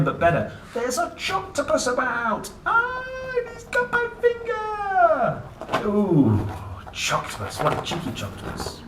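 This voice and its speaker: A man reading a picture book aloud to young children in a playful, theatrical way, exclaiming and putting questions to the listener. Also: high-pitched. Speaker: children's book reader and host. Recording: roomy, distant mic, clearly noisy.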